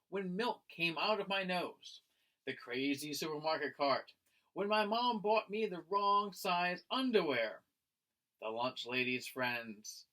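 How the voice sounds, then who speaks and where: A man reads a picture book aloud in the expressive manner of a teacher with young listeners. His voice sits a little high and swings widely in pitch. He takes his time, with a slow, friendly delivery. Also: poor audio. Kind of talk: animated children's read-aloud.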